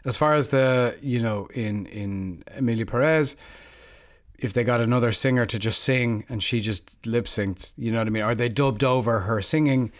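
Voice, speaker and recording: Male podcast co-host, medium pitch, reflective and hedging, conversational. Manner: thinks aloud, hedging with frequent fillers. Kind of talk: casual podcast discussion.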